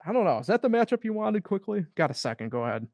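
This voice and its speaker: A man's voice: high voice, very animated, fast, a little mellow, no echo, studio quality. A man co-hosting a sports podcast, talking casually and loosely with lots of fillers. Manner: loose and rambling, heavy on fillers.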